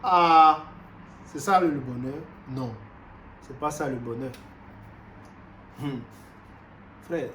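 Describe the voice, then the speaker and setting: A man from Cameroon, preaching a sermon in an animated way, moving from loud exclamations to quiet asides and repeating himself often. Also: medium pitch. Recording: roomy echo with faint background noise.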